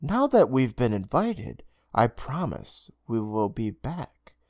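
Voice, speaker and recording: Measured, formal man, slow and deliberate; the reader's own range. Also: mid-range voice, sing-song, darkish tone, dry recording, studio quality. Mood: calm.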